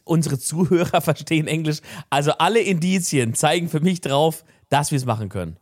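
A man's voice: fairly high pitch, fairly quick, dry recording, studio quality. A young man co-hosting a podcast, chatting in a laid-back, slangy way. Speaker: podcast co-host. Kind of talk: casual podcast chat.

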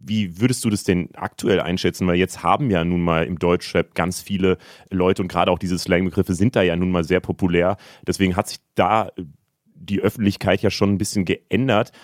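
A man hosting a podcast, asking measured, thoughtful questions. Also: low-pitched, fairly even intonation, no room sound, studio quality. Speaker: podcast host.

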